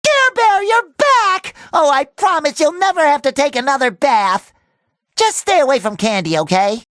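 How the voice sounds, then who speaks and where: A man voicing a cheerful, naive young talking sponge in a cartoon video game, with a squeaky, nasal, exaggerated delivery. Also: animated, moderate pace, fairly bright tone, no room sound, clean studio sound. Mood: delighted. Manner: exaggerated, earnest, eager delivery.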